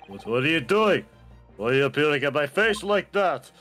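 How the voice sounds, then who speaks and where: A man's voice: a little high, very animated, moderate pace, a bit bright, faint music behind. A man doing a shifty fake Russian. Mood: nervous. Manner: hesitant, stammering, keeps insisting he is Russian. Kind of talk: fan dub of a game.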